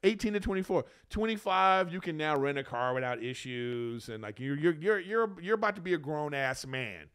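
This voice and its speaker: A man's voice: fairly high pitch, lively intonation, moderate pace, few pauses, fairly bright tone, smooth. A man of about fifty co-hosting a podcast, giving advice in casual, rambling, emphatic explanations. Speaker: podcast co-host.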